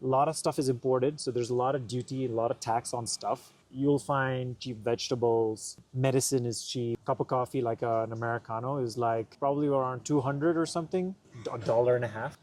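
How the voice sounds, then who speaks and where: A man's voice: mid-range voice, fairly even intonation, fairly quick, darkish tone. A young man, a vlogger, answering viewer questions in a laid-back, joking way with some hesitant fillers. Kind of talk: casual Q&A chat.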